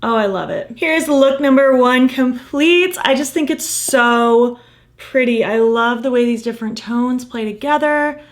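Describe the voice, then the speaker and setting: A woman chatting casually through a makeup tutorial, gushing about the colors and murmuring softly while she applies them, her voice a little croaky from losing it. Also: on the high side, measured pace. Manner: gushing, enthusiastic, soft murmurs while applying. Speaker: makeup vlogger.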